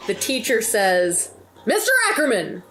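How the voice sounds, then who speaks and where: Casting: female game player, animated and unhurried casual banter, noticeably noisy home recording. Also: medium pitch, faint music behind.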